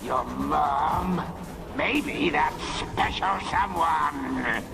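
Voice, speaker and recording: A man playing a brash, gleefully cruel video game villain who taunts in rough, colloquial speech with a gravelly voice. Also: fairly high pitch, extremely slow, full character voice. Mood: taunting glee. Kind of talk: video game cutscene acting. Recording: loud music under the voice. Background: dramatic game music.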